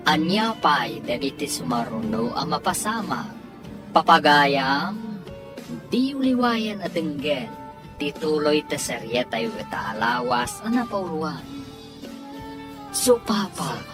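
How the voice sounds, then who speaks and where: A woman's voice: deep voice, big pitch swings, slow, over music. A woman with an Ilocano accent giving dramatic scripted narration as the announcer of a radio drama.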